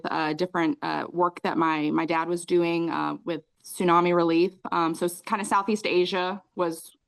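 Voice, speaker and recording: A woman's voice: lowish voice, clean tone. A woman in her thirties, a podcast guest, telling her life story in casual chat, with many ums and restarts. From Raleigh, North Carolina; raised in Cambodia.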